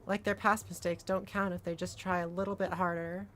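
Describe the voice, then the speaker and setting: A woman's voice: lowish voice, level tone, brisk, fairly bright tone, a little hiss or hum. A woman hosting a casual gaming livestream, chatting and reading aloud from a visual novel. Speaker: streamer, vtuber host. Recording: music playing behind the voice.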